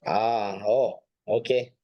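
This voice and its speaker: A man's voice: fairly low pitch, fairly even intonation, slow pace, dark tone, no echo. A man teaching an informal online class as a Buddhist monk, speaking hesitantly and often repeating phrases. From Nepal.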